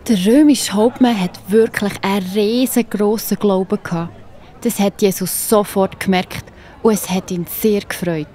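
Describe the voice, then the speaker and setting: A woman's voice: medium pitch, fairly even intonation, moderate pace, dark tone. A woman with a Swiss German accent narrating a Bible story for children, encouraging and speaking directly to the listener.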